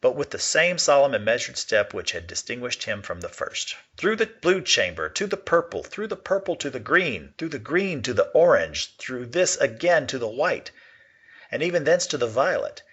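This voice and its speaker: A man giving a dramatic reading of a classic story, with expressive, sweeping storytelling. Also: mid-range voice, moderate pace, slightly rough. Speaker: reader. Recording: dull lo-fi recording.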